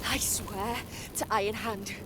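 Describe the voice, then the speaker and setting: A woman's voice: mid-range voice, fairly even intonation, a little slow, heavy background noise, natural voice. A woman playing a small, fierce gnome rebel in a video game, blunt and aggressively threatening.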